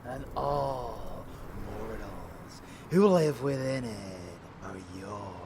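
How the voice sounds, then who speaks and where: Arrogant male vampire lover, ASMR roleplay. Extremely unhurried, theatrical, possessive delivery over clear background noise. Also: mid-range voice, expressive. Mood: adoring, proud. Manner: theatrical, teasing, breaking into whispers. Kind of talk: intimate ASMR audio roleplay.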